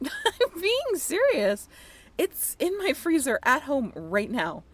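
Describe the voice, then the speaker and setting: A woman playing a friendly, flustered young former college roommate in a romantic audio roleplay, talking in a bashful, hesitant, giggly and apologetic way. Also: mid-range voice. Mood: playful, teasing. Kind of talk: romantic audio roleplay, naturalistic one-sided conversation.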